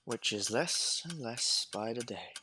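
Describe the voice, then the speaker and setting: A sharp, bright, mid-pitched voice with faint background noise. It is a man acting a fair-minded young Victorian gentleman in an audio drama, politely insistent and earnest. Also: animated, unhurried. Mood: earnest, exasperated.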